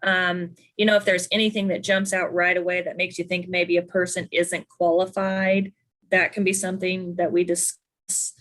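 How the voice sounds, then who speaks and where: A woman with a fairly low voice speaks on a conference call. She lays out procedure in a practical, matter-of-fact and informal way, as a coordinator would. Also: level tone, moderate pace, fairly bright tone. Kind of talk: informal procedural explanation in a meeting. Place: conference call over Zoom. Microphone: video call microphone.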